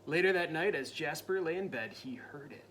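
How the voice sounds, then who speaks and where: A man reading a picture book aloud to a child, dramatic and playful, dropping into spooky whispers and jumping to loud cries. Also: on the high side, animated, moderate pace, slight background noise. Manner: playful asides to the listening child. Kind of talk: storybook read-aloud.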